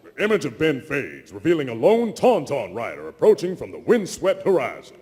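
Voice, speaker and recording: A man narrates a script dramatically before a live hall, in a fairly high voice with lively swings of pitch. The sound is reverberant, with noise behind it. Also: a little fast, slightly rough.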